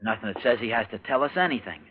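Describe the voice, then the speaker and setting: An actor playing a bitter, worn-down young husband with an intense, brooding delivery in a 1940s radio drama. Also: male voice, medium pitch, lively intonation, rapid-fire, lightly acted. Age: young adult. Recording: noisy, tinny old radio recording.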